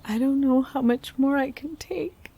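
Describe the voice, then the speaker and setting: A woman acting as a frightened, haunted middle-aged character in an audio drama, hesitant and breathy. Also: sits high, unhurried, warm tone, low-quality recording. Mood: scared, despairing. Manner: hesitant, anxious.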